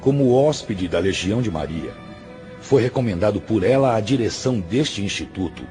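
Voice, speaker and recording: A man's voice: on the low side, expressive, moderate pace, close to an everyday voice. A man acting in a radio drama as a stern, patient spiritual master, speaking in a solemn, admonishing and didactic way. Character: stern, patient male spiritual master, grave moral counselor. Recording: music under the voice.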